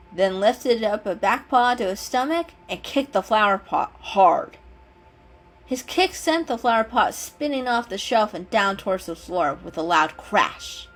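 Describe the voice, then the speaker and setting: A woman narrating a children's animal story as an expressive dramatic reading. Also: fairly high pitch. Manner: expressive, acts out the dialogue. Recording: music under the voice. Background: background music.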